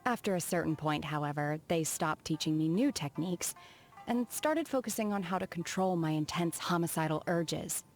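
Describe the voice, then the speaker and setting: A reserved young female apprentice of immortals for a video game. Her voice sits a little low, soft-spoken and formal, with measured, aloof delivery. Music plays behind the lines. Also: animated. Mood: composed, matter-of-fact.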